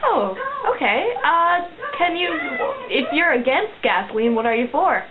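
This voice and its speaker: A woman's voice: high-pitched, sing-song, a little slow, noisy background. A woman playing a polite, flustered news interviewer in an amateur skit, with a hesitant, placating manner. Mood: awkward, placating. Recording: muffled phone-like sound, crowd chatter.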